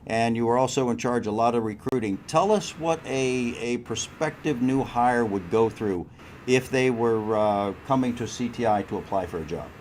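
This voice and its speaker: A man's voice: medium pitch, moderate pace, a little mellow, a little hiss or hum. A man hosting a cruise news show and interviewing a guest, talking in a chatty way straight to his listeners. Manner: chatty, addresses crew members directly. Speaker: host.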